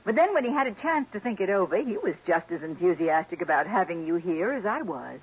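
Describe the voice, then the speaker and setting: An actress playing a chatty, warm-hearted, middle-aged wealthy hostess in a 1960s radio drama, with a breathy voice. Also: female voice, fairly low pitch, very animated, brisk, full character voice. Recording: dull lo-fi 1960s radio broadcast.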